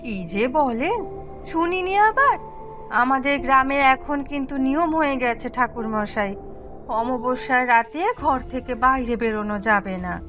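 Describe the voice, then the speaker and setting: A man's voice: extremely high pitch, animated, unhurried, sharp tone, soft background music, heavily acted. A man voicing a humble, anxious village householder, exclaiming and deferential, in an audio story. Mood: emphatic.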